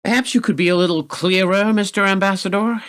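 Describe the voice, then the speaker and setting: An actor playing a self-assured government official in a radio play, polite, smug and evasive. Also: male voice, high-pitched, brisk, fairly bright tone. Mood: guarded, evasive.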